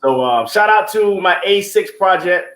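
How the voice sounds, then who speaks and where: High-voiced man giving informal, devout testimony. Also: animated, moderate pace, fairly bright tone. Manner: informal, emphatic, devout, punctuated with 'you know what I'm saying'. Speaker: stage play producer and guest. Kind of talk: testimonial talk on an online show.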